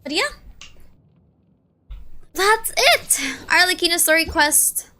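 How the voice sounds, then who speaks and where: A woman in her early twenties chatting casually on a gaming stream, excitable and gushing, rambling as she goes. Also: extremely high pitch, slow. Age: early 20s. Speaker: streamer and video essay creator. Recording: music behind the voice. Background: game music.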